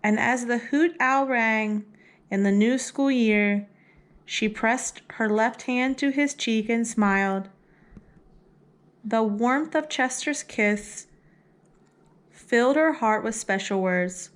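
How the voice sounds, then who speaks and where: A woman reading a picture book aloud for young children in a deliberate storytelling manner. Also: fairly high pitch. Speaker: read-aloud host.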